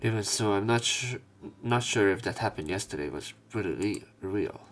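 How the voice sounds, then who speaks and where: A man reading visual novel text aloud as a let's play narrator, in a somewhat halting manner. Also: fairly low pitch, animated. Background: game music.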